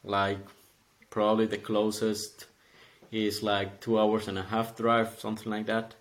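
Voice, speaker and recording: A man's voice: fairly low pitch, monotone, measured pace. A man in his twenties from Costa Rica with a Costa Rican accent, chatting in a friendly way as a podcast guest who laughs often. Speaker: podcast guest, calisthenics athlete. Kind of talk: casual interview.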